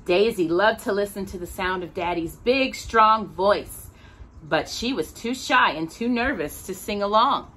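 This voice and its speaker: A woman reading a children's picture book aloud as storyteller, expressive, drawing out words for a young listener. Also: mid-range voice, a little slow, husky.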